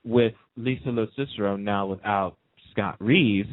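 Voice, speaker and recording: Man, lower pitch, enthusiastic chatty talk-show host, dry close sound, thin telephone audio. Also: a little slow, no room sound. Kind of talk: casual chat about TV soaps. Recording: narrow tinny phone-line sound.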